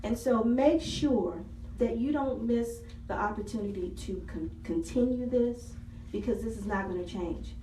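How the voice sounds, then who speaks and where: A woman's voice: a little high, a little mellow, slight background noise. A woman council member addressing a public meeting, speaking earnestly and repeating key phrases for emphasis. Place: city council meeting room. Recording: echoey hall, voice from far off.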